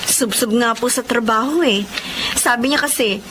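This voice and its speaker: A woman's voice: a little high, moderate pace, background noise, lightly acted. A woman playing an earnest, troubled young wife and mother in a Filipino radio drama, polite and respectful. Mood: earnest worry. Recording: music clearly behind the voice.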